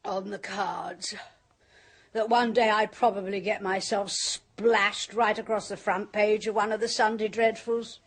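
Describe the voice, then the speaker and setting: A woman's voice: mid-range voice, level tone, unhurried. An actress playing a jaded woman who has faked being a spirit medium, speaking in a theatrical, mocking, clipped manner in a radio drama. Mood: wry. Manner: theatrical, mocking, clipped upper-class delivery. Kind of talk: 1970s radio drama acting.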